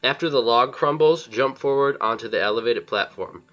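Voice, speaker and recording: A man's voice: medium pitch, moderate pace, fairly bright tone. A man narrating a video game walkthrough as its host, giving plain step-by-step directions. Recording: slightly dull lo-fi voice-over. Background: faint game music.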